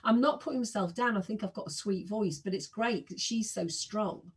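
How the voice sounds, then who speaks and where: A woman's voice: mid-range voice, moderate pace, husky. A woman of sixty, a singer and podcast guest, chatting enthusiastically and with self-deprecating humour. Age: 60s. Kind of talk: casual interview chat.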